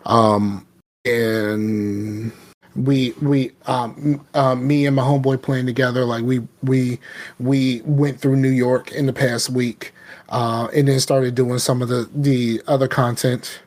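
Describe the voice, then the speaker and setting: A man with a Southern US accent, a podcast co-host chatting casually with lots of fillers and restarts. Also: mid-range voice. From American South. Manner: rambling, many fillers and restarts. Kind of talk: casual chat.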